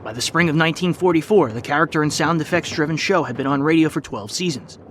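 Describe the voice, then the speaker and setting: A man delivering scripted documentary narration with measured, formal phrasing. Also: on the high side, fairly bright tone, a little hiss or hum. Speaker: podcast narrator.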